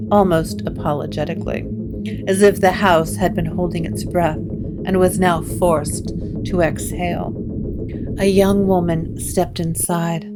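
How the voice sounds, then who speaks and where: A woman narrates a gothic audio drama with a solemn, atmospheric delivery. Her pace is slow and measured, her pitch a little low. Music plays clearly behind her.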